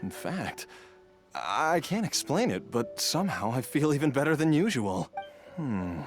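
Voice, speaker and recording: An actor playing a grateful, mild middle-aged father in a video game, gentle and thankful. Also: medium pitch, sing-song, measured pace, soft background music. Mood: relieved.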